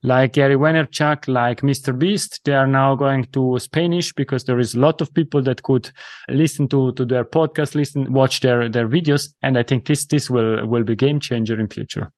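Italian-accented host, halting speech. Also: male voice, mid-range voice, steady tone, a little mellow, no room sound. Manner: halting, restarts words while searching. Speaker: podcast host. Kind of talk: conversational interview.

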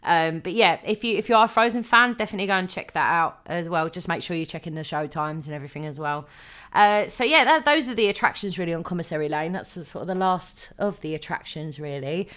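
A woman's voice: lowish voice, expressive, fast, a bit bright. A young woman co-hosting a podcast, chatting casually with many ums and frequent laughs. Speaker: podcast co-host. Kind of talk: casual chat.

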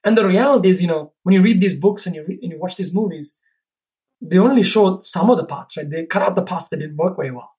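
A man's voice: sits high, quick pace, a little mellow. A man from Sri Lanka, a guest and business owner, talking reflectively on a podcast, often checking in with "right?". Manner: reflective, rambling, tags with "right?". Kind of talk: casual conversation.

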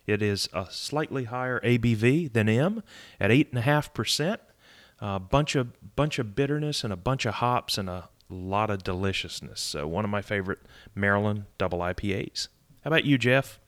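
Chill podcast cohost guy, jokey, lowish voice. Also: animated, normal speed, a bit bright. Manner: easygoing, jokey. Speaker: podcast co-host. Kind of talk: casual chat.

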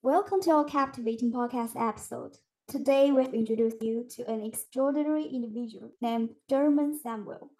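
A woman's voice: on the high side, fairly quick, few pauses, warm tone, poor audio. A woman hosting a podcast, reading scripted introductions and questions in a formal, presentational way. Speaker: podcast host.

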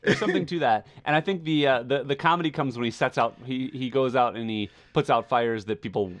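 A man's voice: medium pitch, a little fast, a bit bright. A man co-hosting a podcast, talking casually and telling anecdotes in a conversational way. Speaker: podcast co-host. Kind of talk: casual chat and personal anecdotes.

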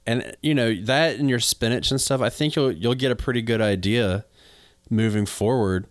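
A man's voice: mid-range voice, normal speed, no room sound, clean studio sound. A man co-hosting a gardening show in casual, unscripted chat, explaining things in a conversational way.

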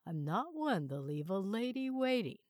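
A woman's voice: medium pitch, big pitch swings, moderate pace, a little mellow, no room sound. Cheating businessman read by a woman narrator, eager, confident and flirty, dry audiobook sound. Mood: seductive, confident. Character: eager, smooth-talking adulterous businessman.

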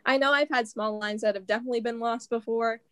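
A teenage girl from a high school drama club, talking enthusiastically in a casual interview. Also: steady tone, fast talker, a bit bright, clear, resonant tone, no room sound, clean studio sound. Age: teens. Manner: enthusiastic, gushing.

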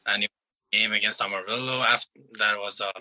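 A young man in his twenties from Iran, a soccer player answering interview questions in a relaxed, halting way with restarts. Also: on the low side, low-quality recording.

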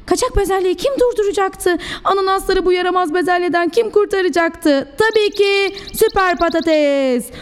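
A woman's voice: extremely high pitch, moderate pace, few pauses. A woman preschool teacher telling a storybook tale expressively and theatrically to young children.

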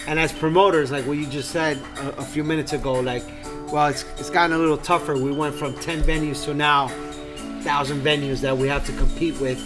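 A man's voice: on the high side, steady tone, brisk. A man from New York co-hosting a podcast, upbeat and chiming in with short reactions. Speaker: podcast co-host. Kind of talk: casual podcast chat. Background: music.

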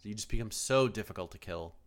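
A man's voice: lowish voice, quick pace. A man hosting a podcast, chatting casually and reading listener emails, with lots of fillers, restarts and the odd swear word. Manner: rambling, many fillers and restarts. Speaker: podcast host.

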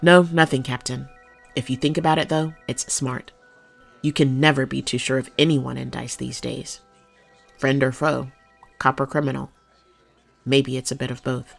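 A woman's voice: a bass voice, steady tone, moderate pace, vocal fry, pauses often, soft background music, exaggerated voice. An actress playing a loyal, candid policewoman who speaks deferentially but frankly to her captain in an audio drama. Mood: matter-of-fact, candid.

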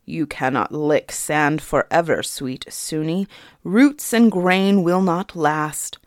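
A woman's voice: sits low, dry recording, clean studio sound. Woman doing a coldly mocking fairy king. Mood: taunting. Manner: slow, soft, taunting. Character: young male fairy king, cold, mocking, menacing.

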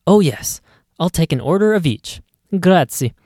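A man's voice: on the high side, lively intonation, measured pace, dark tone, no echo, studio quality, voice pushed up. A man voicing a polite, flustered young woman customer, speaking in a chirpy, well-mannered way.

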